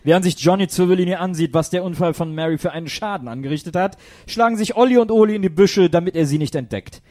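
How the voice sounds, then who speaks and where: A man's voice: high voice, quick pace, a little mellow. A man narrating a comic radio play in a scripted storytelling style.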